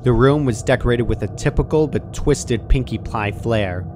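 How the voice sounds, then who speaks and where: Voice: mid-range voice, moderate pace, noisy background. A narrator reading a scripted horror fan fiction story aloud.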